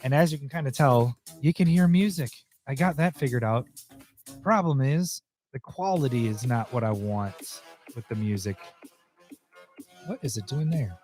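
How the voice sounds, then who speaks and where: A man hosting a livestream talks casually as he works, his voice a little hoarse. He thinks aloud and grumbles when things go wrong. Faint music plays quietly behind him. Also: medium pitch, animated, normal speed. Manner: chatty, easily annoyed, thinking aloud. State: slightly hoarse, losing his voice. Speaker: host. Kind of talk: casual livestream commentary while editing.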